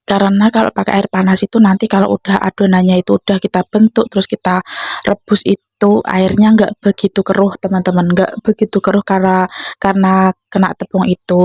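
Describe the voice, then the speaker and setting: Chatty young woman, even dry delivery. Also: mid-range voice, slightly rough, no room sound. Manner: chatty, repeatedly addressing viewers as friends. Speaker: home cooking vlogger. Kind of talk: casual cooking vlog narration.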